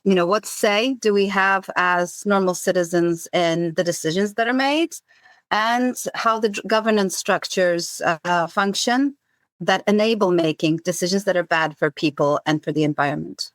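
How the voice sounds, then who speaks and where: A woman's voice: lowish voice, normal speed, no echo. A woman in her forties, raised in Zambia, thoughtfully answering interview questions about her work. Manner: thoughtful, many fillers and restarts. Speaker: podcast guest, campaign coordinator.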